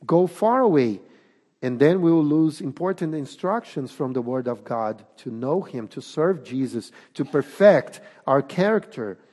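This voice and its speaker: Slow Portuguese-accented man preaching. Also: on the high side, a little mellow. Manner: deliberate, emphatic preaching with pauses. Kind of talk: sermon. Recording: long reverb of a hall.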